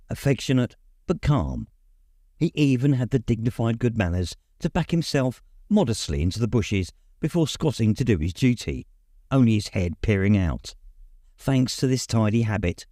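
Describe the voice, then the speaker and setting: A man reading a memoir aloud as an audiobook narrator, in measured storytelling phrases. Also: fairly low pitch, sing-song, warm tone, no room sound, clean studio sound. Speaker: audiobook reader. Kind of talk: scripted literary narration.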